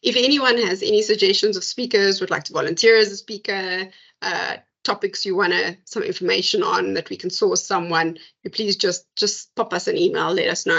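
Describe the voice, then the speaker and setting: A woman's voice: medium pitch, gravelly, a bit bright, breathy edge, lo-fi sound. A woman hosting an academic seminar, chatty and thinking aloud with fillers. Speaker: seminar host. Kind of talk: academic discussion and closing remarks. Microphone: video call.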